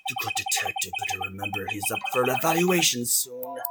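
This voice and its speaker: A woman's voice: a bass voice, wildly swooping pitch, fast, over loud music, voice pushed down, exaggerated voice. A woman voicing a pompous, easily baffled old male judge in a video game fan dub. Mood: authoritative.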